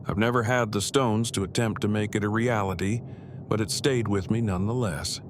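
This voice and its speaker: A man's voice: lowish voice, steady tone, moderate pace, background noise, natural voice. A man playing an earnest, bookish husband in an audio drama, speaking in formal, wordy and precise phrases. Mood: hesitant, confiding. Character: verbose, earnest, bookish husband on a road trip.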